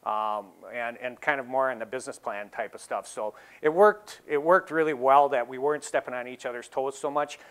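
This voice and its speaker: A man's voice: mid-range voice, a little fast, few pauses, fairly bright tone, clear, resonant tone. Easygoing middle-aged farmer man. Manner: easygoing, many "you know" fillers. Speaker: dairy farmer presenting. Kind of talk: casual talk to a seated audience. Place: large exhibition hall.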